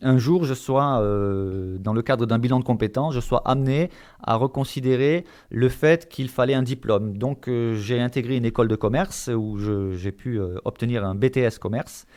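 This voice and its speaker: A man's voice: mid-range voice, moderate pace, a little mellow. A man from Marseille answering in an interview, hesitant and searching for his words. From Marseille, France. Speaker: interview guest, volunteer visitor of the elderly.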